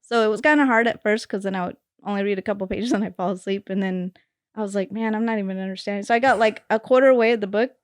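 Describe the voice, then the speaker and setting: Smooth-voiced woman guest, hesitant. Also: medium pitch, fairly even intonation, quick pace, no room sound, clean studio sound. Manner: hesitant, many fillers, thinking aloud. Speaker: podcast guest. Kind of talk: casual conversation.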